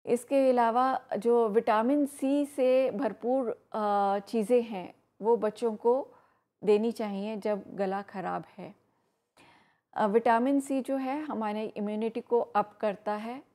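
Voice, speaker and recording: A woman giving health advice on an educational program, explaining steadily with frequent pauses and fillers. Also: fairly high pitch, steady tone, warm tone. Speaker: health expert guest. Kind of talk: educational talk on live program.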